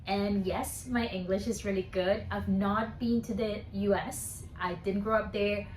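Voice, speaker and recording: Soft-spoken woman in an echoey room. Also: medium pitch, steady tone, measured pace, a little hiss or hum. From Colombia. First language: Spanish. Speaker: singer-songwriter. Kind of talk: casual talk to camera.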